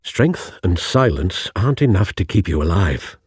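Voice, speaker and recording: An actor voicing a worried, stern but caring older male confidant in a video game, earnest and admonishing, with a husky voice. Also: sits low, big pitch swings, normal speed, no room sound, studio quality, heavily acted.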